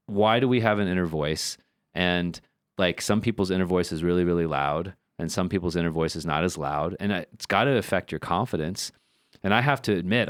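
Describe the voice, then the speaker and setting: Low-voiced man musing on a podcast. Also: no echo, clean studio sound. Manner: thoughtful, builds ideas with many fillers and repeats. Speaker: podcast co-host. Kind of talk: casual podcast discussion.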